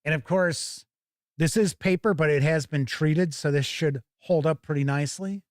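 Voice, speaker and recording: A man hosting a tabletop game show, giving casual, halting live unboxing commentary and thinking aloud. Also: a little high, no room sound, clean studio sound.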